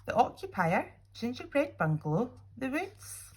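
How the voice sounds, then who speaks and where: A woman reading a children's storybook aloud expressively, with dramatic pauses and little asides to young listeners. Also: high-pitched, sing-song, slight background noise. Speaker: teacher reading a picture book aloud.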